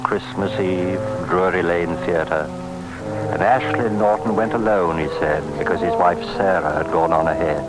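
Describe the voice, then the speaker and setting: An actor as a deep-voiced, haunted inspector. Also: male voice, moderate pace, loud music behind the voice, natural voice. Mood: brooding. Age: middle-aged. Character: haunted, courteous Scotland Yard inspector with lost past. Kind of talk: 1940s radio drama acting. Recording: noisy old radio recording.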